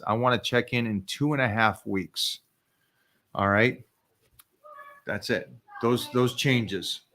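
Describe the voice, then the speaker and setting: A man hosting a live fitness coaching stream, talking in an energetic, emphatic way and repeating words when he gets excited. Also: fairly low pitch.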